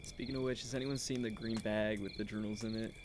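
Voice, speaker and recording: A man's voice: lowish voice, steady tone, fast talker, natural voice. Man as eager teenage boy, noisy outdoors. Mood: eager, earnest. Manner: casual, rambling, earnest. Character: eager high school boy, amateur investigator. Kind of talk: naturalistic audio drama dialogue. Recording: outdoor night noise, crickets. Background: crickets and insects.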